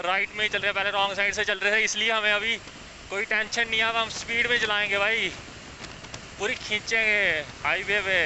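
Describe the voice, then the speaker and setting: A young man in his twenties chatting casually and excitedly while riding a scooter, recorded outdoors on a clip-on mic. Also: high-pitched. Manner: chatty, excited, repeats "bhai" and "yaar". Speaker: motovlogger. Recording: wind and traffic noise, dull lo-fi sound.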